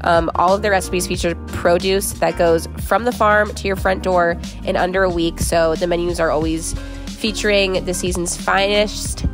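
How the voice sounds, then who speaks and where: A woman's voice: fairly low pitch, fairly even intonation, moderate pace, over music. Sarcastic chatty woman in her late twenties. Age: late 20s. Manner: sarcastic, chatty, rambling with likes and ums. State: stressed, near tears. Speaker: vlogger. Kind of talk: casual vlog chat with sponsor read. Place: car interior. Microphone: camera on dashboard.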